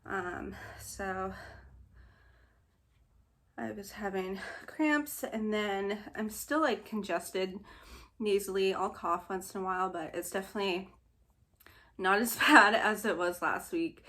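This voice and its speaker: A woman's voice: medium pitch, slow, long pauses, pushed and bright. This is a young woman chatting through a casual vlog update. Her voice is a touch nasal from congestion, and she sounds slightly short of breath. She fills her talk with "like" and "um" and laughs easily. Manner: chatty, laughs often, many fillers. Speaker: vlogger.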